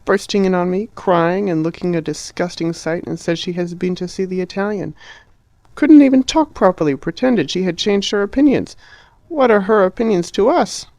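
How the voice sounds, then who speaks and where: A woman's voice: medium pitch, moderate pace, darkish tone, dry recording, voice pushed up, close to an everyday voice. A man voicing an agitated, indignant sister who scolds in hysterical bursts.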